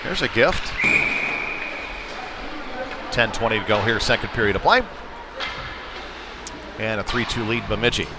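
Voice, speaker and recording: A man doing conversational, folksy live play-by-play commentary of a youth hockey game, getting excitable when play heats up. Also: on the low side, a little slow, long pauses, very noisy. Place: indoor ice rink. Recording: live rink stream with music behind. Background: music over rink PA.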